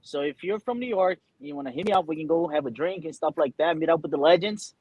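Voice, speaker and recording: A hyped, friendly man from Brazil talks as a guest in a casual online chat. His voice sits a touch higher than most men's and sounds dry and close, with no room echo. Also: fast, darkish tone, slightly rough. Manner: hyped, friendly, calls people brother.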